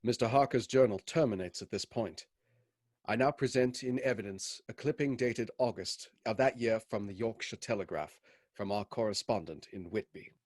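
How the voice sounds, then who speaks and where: An actor playing a sober gentleman doctor who narrates a radio play in a formal, measured way. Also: fairly low pitch, dry recording, clean studio sound, natural voice. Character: Doctor Seward, sober gentleman doctor presenting evidence.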